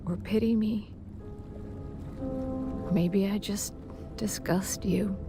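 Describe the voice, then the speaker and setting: A gentle, dying wife in a dramatic video game monologue. She reads her farewell letter very slowly, soft and halting, slipping toward a whisper. Music plays clearly behind her sorrowful, mid-pitched voice. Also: steady tone. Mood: fearful sorrow. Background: game soundtrack music.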